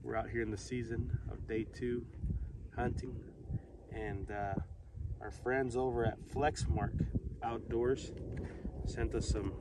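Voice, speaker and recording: A young man with a gravelly voice talks haltingly and casually to camera, reviewing gear. He is outdoors and a little way from the mic, with very loud noise over the recording. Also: medium pitch, fairly even intonation, distant mic. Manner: halting, unscripted delivery. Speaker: video host, hunter reviewing gear. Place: outdoors on an open grassy hillside. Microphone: camera a few metres away.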